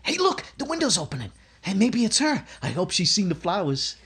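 A man's voice: high voice, huge pitch swings, fairly quick, fairly bright tone, breathy edge, exaggerated voice. A man playing a hapless, brash, lovesick young suitor who stammers melodramatically in an old-time radio sitcom. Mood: nervous, hopeful.

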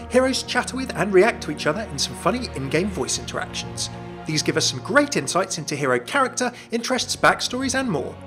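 A young man narrates a scripted fan video. His voice sits a little high for a man. He is chatty, with jokey asides, and soft music plays behind him.

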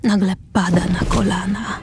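A woman narrating a radio drama, reading stage directions in short measured phrases. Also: medium pitch, steady tone, darkish tone. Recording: music behind the voice.

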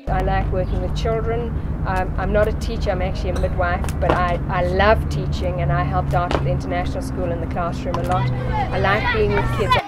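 A woman in her fifties speaking slowly with many pauses and fillers in an outdoor interview. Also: fairly low pitch, over a noisy crowd, very noisy. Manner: slow, hesitant, many fillers. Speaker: volunteer, midwife. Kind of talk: documentary interview. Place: outdoors at tables. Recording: loud music bed under the voice.

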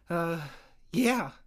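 An eager cartoon fish fellow, folksy and easily flustered. His high voice swoops up and down as he takes his time over his words. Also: gravelly, exaggerated voice. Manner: folksy, excitable, chuckling nervously or gleefully. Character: eager, gullible, food-loving male cartoon townfish. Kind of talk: cartoon audio drama acting.

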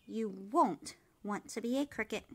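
A woman's voice: mid-range voice, a little slow, creaky, a little mellow. A woman voicing a stern, bossy mother cricket in a storybook, scolding and insistent.

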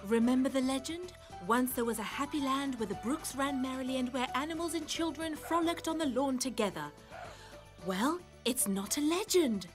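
A woman playing a bright, bookish young student in a cartoon, articulate, prim and a little coy. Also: high voice, normal speed, soft background music, lightly acted. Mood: wonder. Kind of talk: animated cartoon voice acting.

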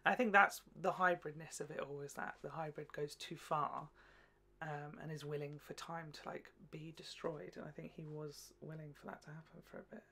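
Young man, creaky voice, easygoing co-host in casual reaction chat. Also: on the high side, flat delivery, moderate pace, sharp tone. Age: 20s or 30s. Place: small furnished room at home. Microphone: desk microphone in front.

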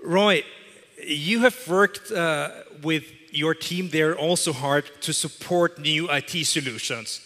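Man, thirties, emphatic keynote, headset mic, bright tense voice, hall reverb. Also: on the high side, slow. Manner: emphatic, repeats phrases for effect. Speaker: keynote presenter. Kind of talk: corporate keynote speech. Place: large event hall with audience.

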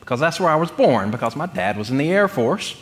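Animated man preaching. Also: a little high. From North Carolina, United States. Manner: conversational, humorous, with deliberate pauses. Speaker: pastor. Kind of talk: sermon with personal storytelling. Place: church sanctuary, speaking from the pulpit.

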